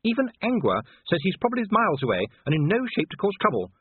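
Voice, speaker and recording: A man voicing a firm, commanding aristocratic wife in an audiobook, brisk and imperious. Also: high-pitched, huge pitch swings, husky, no echo, narrow, tinny audio, pitched above the performer's own voice, full character voice. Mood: brisk, exasperated.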